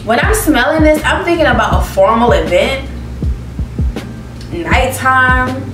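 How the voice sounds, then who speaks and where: Slow, lively woman in echoey room. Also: high-pitched, distant mic. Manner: expressive, reads descriptions aloud, reacts with laughs. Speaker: beauty vlogger. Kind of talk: casual fragrance review. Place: living room with plants, indoors. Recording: roomy echo, mic set back, music behind.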